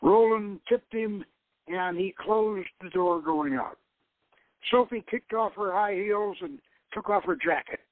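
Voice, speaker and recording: Phone-like male narrator, slightly high voice. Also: a little slow. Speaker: host reading his own novel. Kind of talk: dramatic audiobook-style narration. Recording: narrow phone-like line.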